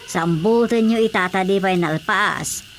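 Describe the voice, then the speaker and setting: A woman's voice: mid-range voice, measured pace, slight background noise, lightly acted. A woman playing a down-to-earth, questioning village woman with an Ilocano accent in a radio comedy drama. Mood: matter-of-fact.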